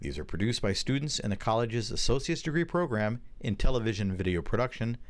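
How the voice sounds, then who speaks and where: A man reading a scripted program introduction as a voice-over, formal and even. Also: lowish voice, fairly quick. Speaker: announcer.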